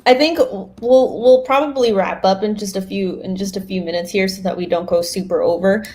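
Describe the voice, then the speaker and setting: A woman's voice: medium pitch, fairly quick, darkish tone. A woman hosting a casual podcast, chatty and informal as she steers the conversation. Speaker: podcast host.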